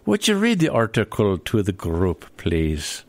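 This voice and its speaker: A man's voice: lowish voice, wildly swooping pitch, a little slow, darkish tone, no room sound, voice pushed down, full character voice. A man voicing a pompous, easily exasperated leprechaun chairman in a comic radio play. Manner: pompous, exasperated formal address. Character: bossy leprechaun chairman running a meeting.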